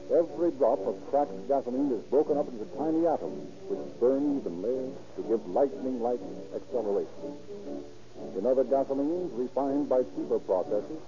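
1930s radio announcer, man, dull vintage sound. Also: medium pitch. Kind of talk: 1930s radio announcing, scripted sales pitch and narration. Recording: dull vintage radio broadcast, music behind. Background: music.

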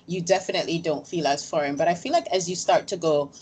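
A woman's voice: lowish voice, quick pace, darkish tone, breathy edge. A woman hosting a casual conversational interview, speaking emphatically and repeating phrases for weight. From grew up on a small island. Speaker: interviewer, host.

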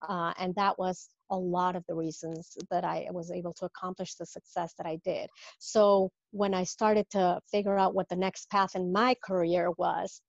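A woman's voice: on the low side, flat delivery, moderate pace, gravelly, hardly any pauses, no echo, dull audio. A woman in her fifties from Mexico, a business coach, talking through her career in a casual interview with many fillers and restarts.

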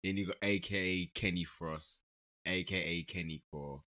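A man's voice: deep voice, steady tone, normal speed, sharp tone. A man, a podcast guest joking with old friends in a laid-back way. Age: 30s. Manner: laid-back, jokey banter. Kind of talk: casual chat among old friends.

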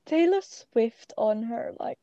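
A woman's voice: a little high, expressive, dark tone, no room sound, highs cut off. A teenage girl in casual, teasing chat with a friend, giggling often. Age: teens. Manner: giggly, teasing, quick banter. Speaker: co-host.

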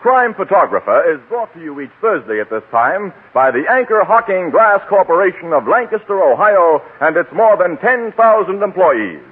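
A man's voice: high voice, sing-song, moderate pace, hardly any pauses, breathy edge. An American man working as a radio announcer, reading a script in polished 1940s broadcast style. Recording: tinny 1940s radio with faint music.

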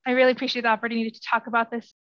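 A woman genetic counselor talks earnestly about her research, often softening her points. Her voice is a little high and stays flat in pitch. The sound is dull and dry, like a remote line. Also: rapid-fire, no echo. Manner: earnest, hedges with "I think". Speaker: interview guest, genetic counselor. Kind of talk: casual interview answers.

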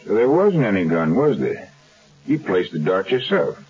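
An actor playing a cool, wry, laconic insurance investigator in a 1970s radio drama. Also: male voice, fairly low pitch, very animated, moderate pace, a little mellow, husky, full character voice. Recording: dull 1970s radio recording.

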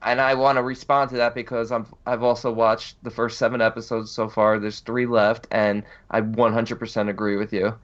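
A man hosts a relaxed podcast chat, reading out listener questions between easy laughs. His voice sits at an ordinary pitch, and the recording is dull and lo-fi. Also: moderate pace, hardly any pauses. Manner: laughs easily, reads questions out. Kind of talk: casual chat.

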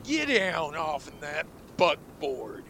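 A man's voice: on the high side, big pitch swings, slow, noisy background, heavily acted. A man playing a menacing western outlaw in an old-time radio drama, with a threatening delivery.

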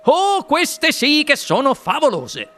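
A storybook narrator voicing an eccentric, excitable, impatient candy-factory owner in a theatrical, exclamatory way. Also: sits high, moderate pace, a little hiss or hum, voice pushed up, exaggerated voice. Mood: gleeful, boastful.

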